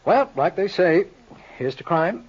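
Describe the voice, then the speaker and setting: A man's voice: high-pitched, sing-song, a little slow, exaggerated voice. An actor playing a cool, wisecracking male sleuth with a dry, sardonic delivery in a 1950s radio crime drama. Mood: wry, probing. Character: wisecracking, cool private lawyer-sleuth, adult man. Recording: muffled, noisy old-time radio recording.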